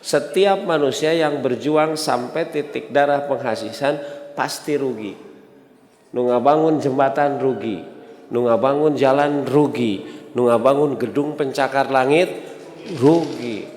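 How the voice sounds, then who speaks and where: A man's voice: mid-range voice, measured pace, a little mellow. A man with a Sundanese accent preaching to a congregation in a didactic, conversational way, repeating key words and asking rhetorical questions. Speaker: Islamic preacher. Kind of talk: sermon to a congregation, conversational teaching. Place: mosque hall. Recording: echoey hall with long reverb.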